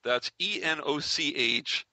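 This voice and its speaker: A man's voice: fairly high pitch, takes it slowly, sharp tone, no room sound, low-quality recording. A man casually chatting as he interviews a guest on a podcast.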